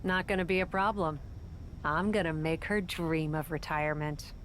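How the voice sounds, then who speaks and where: Cocky low-voiced female wrestler. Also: steady tone, moderate pace, fairly bright tone, a little hiss or hum. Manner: sassy, blunt, commanding. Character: cocky, combative young female wrestler, tough and bossy. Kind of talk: video game cutscene acting.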